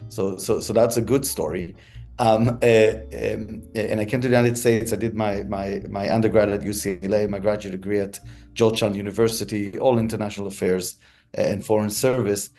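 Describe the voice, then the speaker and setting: A man in his forties from Israel, answering interview questions in a relaxed storytelling way with frequent ums and restarts. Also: lowish voice, normal speed, faint music behind. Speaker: interview guest, negotiator.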